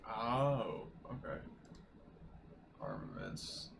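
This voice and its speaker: A man's voice: rumbling low, wildly swooping pitch, extremely slow, vocal fry, a bit bright. Male tabletop player, loose casual chatter with swearing, noticeable noise behind the voice. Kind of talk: casual chat.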